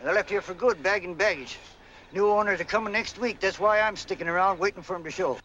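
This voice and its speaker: An actor playing a rough, folksy caretaker in a 1940s film, cagey and unhurried, with uneducated, ungrammatical talk. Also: male voice, high voice, pushed and bright, lo-fi sound. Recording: noisy 1940s film soundtrack.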